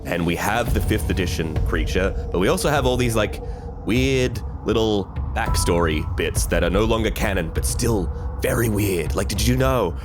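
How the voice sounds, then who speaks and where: A low-pitched man chats casually as a guest on a tabletop gaming podcast. He explains in a geeky, conversational way, with music clearly playing behind him. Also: lively intonation, moderate pace.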